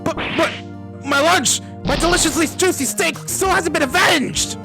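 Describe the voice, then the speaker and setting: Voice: very high-pitched, moderate pace, creaky, music in the background. A solo performer voicing a blustering, whiny male police detective who sounds eager and aggrieved. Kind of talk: fan dub courtroom comedy, dramatic video game acting.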